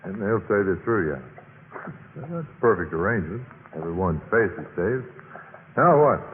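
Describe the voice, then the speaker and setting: Low-voiced, wry college man. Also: expressive, normal speed, slight background noise. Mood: dry, wry. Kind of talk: 1950s radio drama acting. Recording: muffled, echoing old radio recording.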